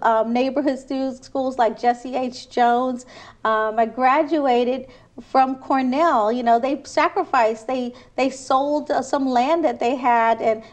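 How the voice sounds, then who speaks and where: A woman from Trinidad, a city council candidate, answering interview questions in an earnest, persuasive way with frequent restarts. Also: high-pitched.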